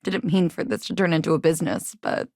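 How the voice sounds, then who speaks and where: An actress voicing a guarded but caring, plain-spoken woman innkeeper from a rough sci-fi district in a video game. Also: sits low, very animated, fast, a little mellow, dry recording, clean studio sound, exaggerated voice. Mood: calm, earnest. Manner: plain-spoken, earnest, civic-minded. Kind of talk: game dialogue lines, conversational.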